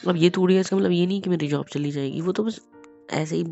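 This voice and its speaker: A man playing a young husband who is hot-tempered but tender and apologetic, speaking softly and often near a whisper. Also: high voice, quick pace, creaky, highs cut off, soft background music. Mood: reassuring. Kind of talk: romantic audio drama.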